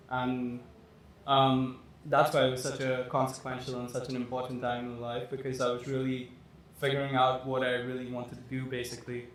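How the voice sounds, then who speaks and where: A young man who grew up in Dubai, talking casually as a guest, rambling with lots of fillers. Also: mid-range voice. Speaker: fashion designer guest. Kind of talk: casual interview talk. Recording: echoey room with faint noise.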